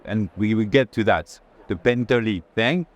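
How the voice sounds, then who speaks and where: A man giving a live curator's tour at an art fair, speaking haltingly and restarting phrases mid-thought. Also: lowish voice, lo-fi sound. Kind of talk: live walking tour conversation.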